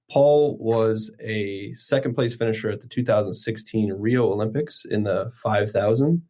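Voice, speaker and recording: A man's voice: on the low side, a little mellow. Man hosting a podcast interview. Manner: friendly, asks loose questions with fillers. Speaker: podcast host. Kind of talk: casual interview.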